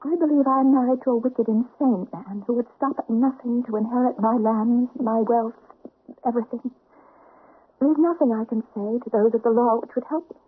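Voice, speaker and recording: Radio drama countess: high husky voice, fearful and pleading, tinny vintage recording. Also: female voice, fairly quick. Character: grieving countess, fearful, pleading, desperate to escape her husband. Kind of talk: old-time radio drama acting.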